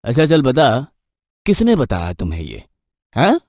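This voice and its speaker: Actor as swaggering, scoffing man with lively swooping pitch. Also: unhurried, no echo, clean studio sound. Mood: mocking. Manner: colloquial, scoffing, taunting. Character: swaggering gang elder Raju, mocking and bossy. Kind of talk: Hindi horror audio story acting.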